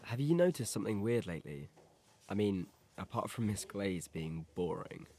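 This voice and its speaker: A laid-back teenage skater boy, played by a young man in an audio drama. His voice sits a little low. He talks in casual slang, like a mate chatting at school. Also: lively intonation, normal speed, dark tone, breathy edge. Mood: downcast, worried. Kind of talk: scripted audio drama dialogue.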